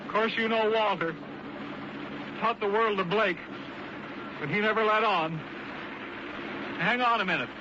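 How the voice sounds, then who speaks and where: A muffled old radio broadcast with clear background noise. a plain-spoken boatman, very high in pitch, reporting in a folksy, uneasy, conversational way. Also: measured pace, pauses often. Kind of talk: old-time radio acting.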